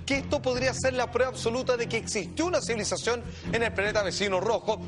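A man with a Chilean accent presenting and commenting excitedly as a TV show host, emphatic, dramatic and repetitive. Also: extremely high pitch, fast. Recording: loud music bed under voice.

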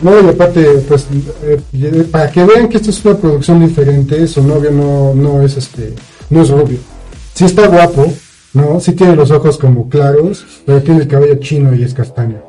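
Mellow, soft-toned Mexican man chatting. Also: on the high side, normal speed, soft background music, low-quality recording. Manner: rambling, colloquial, frequent tag questions. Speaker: podcast co-host. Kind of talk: casual chat, recounting a film plot.

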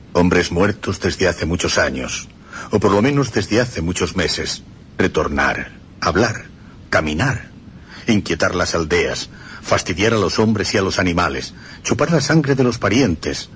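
A man reading historical texts aloud on a radio program in a grave, deliberate recitation. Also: sits low, fairly bright tone, slight background noise. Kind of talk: solemn scripted reading.

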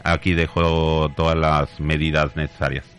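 A man's voice: very deep voice, level tone, normal speed, a bit bright, clean tone, faint music behind. A man, a craftsman interviewed as a guest, explaining things in casual conversation. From Mexico.

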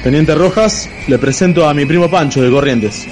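A man's voice: fairly high pitch, moderate pace, over music, close to an everyday voice. A man playing an effusive, shrewd army captain in a radio drama, with a theatrical manner. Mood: jovial, confident.

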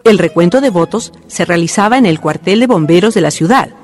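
Radio play narrator, animated intonation, measured storytelling over faint music. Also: mid-range voice. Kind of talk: scripted narration for a radio drama.

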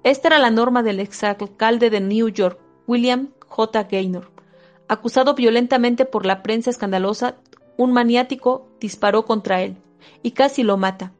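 A woman giving a steady, measured reading of a self-help book, with a few small slips and restarts. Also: on the high side, soft background music. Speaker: audiobook reader.

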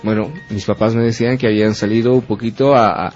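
A man's voice: fairly low pitch, fairly even intonation, quick pace, darkish tone. Hesitant man on radio. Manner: agreeable, hesitant, with many fillers. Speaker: radio co-host. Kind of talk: casual radio talk. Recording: dull lo-fi radio with faint music.

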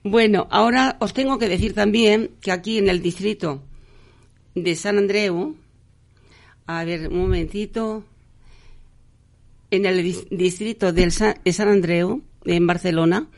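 Woman, radio host, gravelly voice, chatty, halting, many restarts, studio mic. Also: medium pitch. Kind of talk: live radio announcements.

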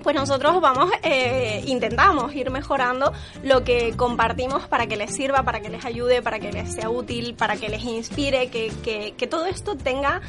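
A woman from Tenerife with a softened Canarian accent, answering casually as an interview guest, with hesitant phrasing and frequent tag questions. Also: on the high side, normal speed, few pauses. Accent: Canarian Spanish softened by years abroad. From Tenerife, Canary Islands. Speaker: interview guest, social media creator. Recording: music behind the voice. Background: music.

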